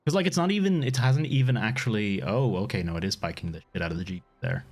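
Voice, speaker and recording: A man's voice: lowish voice, quick pace, a little mellow. A man streaming a game live, chatting casually, swearing freely and laughing often.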